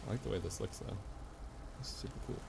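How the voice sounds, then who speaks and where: A man's voice: on the low side, fast talker, gravelly, background noise. A man giving casual live commentary on a video game, muttering to himself with short exclamations.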